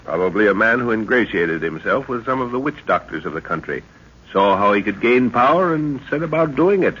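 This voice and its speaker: A man's voice: on the low side, very animated, fairly quick, fairly bright tone, a little hiss or hum. A man playing an older explorer professor and protective father in an old radio serial, explaining and commanding with authority. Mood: explanatory, assured. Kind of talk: 1930s-40s radio serial acting. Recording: muffled old radio recording.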